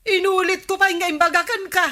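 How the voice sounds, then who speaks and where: A woman's voice: very high-pitched, little pitch movement, a little fast, bright, forceful tone. A woman playing a village captain's exasperated, nagging wife in a comedy radio drama, scolding with an Ilocano accent. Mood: demanding. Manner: scolding, questioning.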